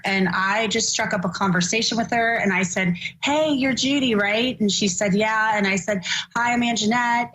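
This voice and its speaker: A woman's voice: mid-range voice, fairly bright tone, poor audio. A woman presenting on a legal news broadcast, earnest and conversational with frequent fillers. Her voice is worn and fading, and a faint hum of ambience sits behind her. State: losing her voice. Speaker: legal news show host. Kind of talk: recounting on a broadcast.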